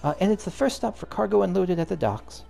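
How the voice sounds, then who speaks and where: A man reading aloud the lines of a calm, learned young female scholar guide from a game, in a measured, formal way. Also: sits high, gravelly, soft background music, voice pushed up, full character voice.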